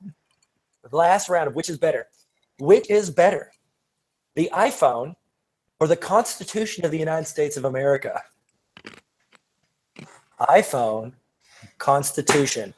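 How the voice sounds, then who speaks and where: Man, fairly high voice, podcast quizmaster, playful, halting, casual comedic banter. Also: lively intonation, slightly rough.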